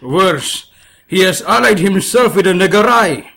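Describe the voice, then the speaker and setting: A man voicing a fierce, commanding ancient master in a story, grave and forceful. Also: high-pitched, expressive, a little slow, vocal fry, pitched above the performer's own voice, heavily acted. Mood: grim, urgent.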